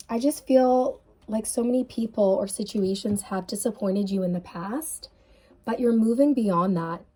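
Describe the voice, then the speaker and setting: A woman's voice: mid-range voice, dark tone. A woman giving a casual tarot reading in an earnest, intuitive way, her voice tired and sniffly. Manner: earnest, intuitive, many fillers like "like" and "um". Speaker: tarot reader.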